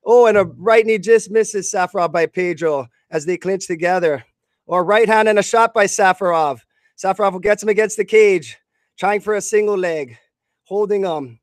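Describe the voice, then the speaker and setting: A man's voice: sits high, normal speed, dry recording, clean studio sound. A man doing live blow-by-blow radio commentary on a fight in short bursts.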